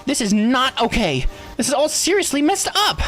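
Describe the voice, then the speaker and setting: Visual novel youngster, man performing: high-pitched, earnest and emotive, lively swooping delivery, music underneath. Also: moderate pace, heavily acted. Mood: shocked disbelief. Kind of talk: visual novel dialogue read with expression.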